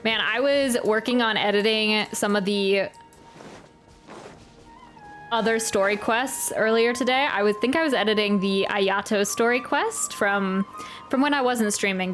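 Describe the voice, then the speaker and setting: A woman's voice: medium pitch, a bit bright. A woman chatting casually on a livestream as she reacts to a game, giggly and self-deprecating. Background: video game music.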